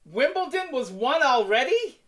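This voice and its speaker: A woman's voice: sits high, sing-song, a little slow, a bit bright. A woman chatting casually and jokingly on a panel, exclamatory and prone to swearing.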